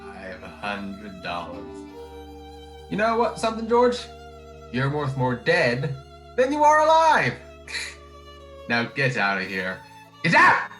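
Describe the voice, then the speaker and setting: A man playing a cruel, gloating old banker in a radio play, with a sneering, deliberate delivery. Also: medium pitch, wildly swooping pitch, sharp tone, faint music behind, full character voice. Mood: gloating, scornful. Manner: sneering, deliberate, drawn-out phrasing. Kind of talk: radio drama acting.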